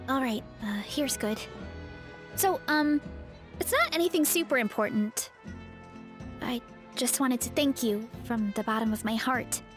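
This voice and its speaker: Actress as a sunny young heroine with a big appetite, high voice, hesitant warmth. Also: female voice, unhurried, pauses often, music in the background, lightly acted. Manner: friendly, a little hesitant.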